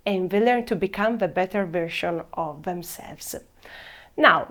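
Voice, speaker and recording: Italian woman presenting a program. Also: medium pitch. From Italy. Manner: measured, stresses key words slowly. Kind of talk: scripted presentation.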